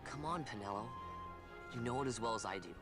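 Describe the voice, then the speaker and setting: An actor playing a brash, carefree orphan youth in a video game. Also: male voice, medium pitch, fast, lightly acted. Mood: defiant. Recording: loud game music over the dialogue.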